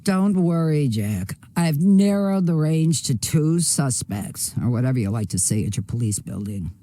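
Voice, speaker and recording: A woman's voice: sits low, lively intonation, unhurried, dark tone. An actor playing a spry, chatty old mother-in-law in a video game, chirpy, teasing and scolding as she talks. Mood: breezy. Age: older. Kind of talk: scripted game dialogue.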